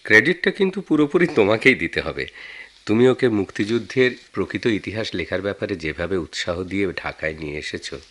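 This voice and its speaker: An actor with a Bangladeshi accent playing a concerned married man in a radio drama, earnest and deliberate. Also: on the low side, expressive, fairly bright tone. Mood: appreciative, concerned. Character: concerned married man, sentimental, respectful of war veterans. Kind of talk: Bengali radio drama acting.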